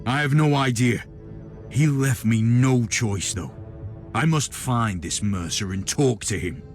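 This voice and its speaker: An actor playing a stern, grim Inquisitor in a video game, speaking in a curt, authoritative and businesslike way. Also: male voice, medium pitch, unhurried, faint music behind, close to an everyday voice. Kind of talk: video game cutscene acting.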